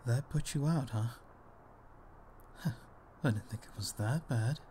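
A man playing a gentle, self-deprecating father in an ASMR roleplay, speaking softly and hesitantly to comfort his grown daughter. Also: medium pitch, measured pace, slight background noise, lightly acted. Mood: soothing, tender. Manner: hesitant, soft, soothing, with small chuckles. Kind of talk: intimate ASMR audio roleplay.

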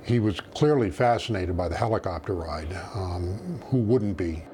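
Man in his 60s, retired agent, interview, measured official phrasing, low pitch, faint rumble. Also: animated, mellow. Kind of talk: interview recollection. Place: small private office, indoors.

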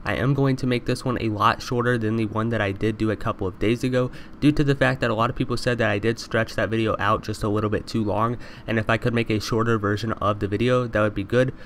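A man's voice: on the low side, steady tone, quick pace, hardly any pauses, a bit bright, slight background noise. A young man with a congested voice from allergies, talking straight to his viewers over game footage and calling them "you guys" again and again. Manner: repetitive, addresses viewers constantly as "you guys". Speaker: gaming YouTuber. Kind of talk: fast voice-over over gameplay.

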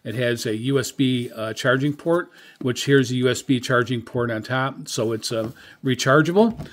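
A man's voice: medium pitch, normal speed. A middle-aged or older man giving a casual product review as a hobbyist, in a hesitant, rambling, conversational way. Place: indoor room with radio equipment.

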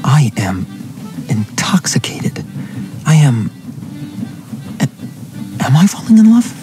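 An actor playing a brooding young gentleman's inner thoughts in a radio drama, dramatic and deliberate. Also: mid-range voice, big pitch swings, in a whisper, music in the background. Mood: giddy, smitten.

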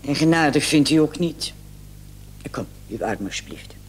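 A man playing a brisk, condescending emergency doctor on night duty in a vintage radio play. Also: a little high, animated. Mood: clinical, matter-of-fact. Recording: old radio recording with clear hiss.

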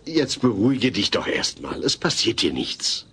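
A man's voice: medium pitch, very animated, measured pace, pushed and bright, slightly rough, heavily acted. A man playing a reasonable, exasperated family father in a comedy audio play, placating and sensible. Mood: soothing.

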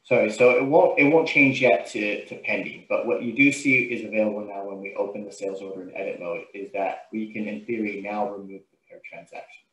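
A man giving an informal, step-by-step software tutorial as a trainer, explaining what he does on screen. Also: fairly low pitch, steady tone, fairly quick, few pauses. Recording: roomy, echoing sound.

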